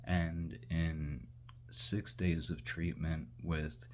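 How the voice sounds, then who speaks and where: A man with a very low voice talks at an unhurried pace, drifting from point to point with plenty of fillers and restarts. He is cooking in his kitchen as he talks. A faint hum sits under the recording. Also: level tone. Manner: meandering, full of fillers and restarts. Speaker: vlogger. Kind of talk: rambling casual monologue. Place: kitchen, cooking while talking.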